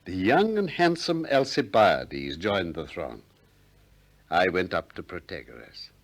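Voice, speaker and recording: An actor playing a probing, gently ironic Greek philosopher who also narrates, in a 1960s radio play. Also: male voice, sits low, sing-song, full character voice. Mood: wry narration. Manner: questioning, gently ironic, deliberate.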